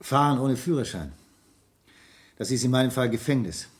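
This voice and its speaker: An actor playing a formal, anxious businessman under suspicion in a 1970s German radio crime drama, speaking deliberately and defensively. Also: mid-range voice, expressive, breathy edge. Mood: anxious, confessing.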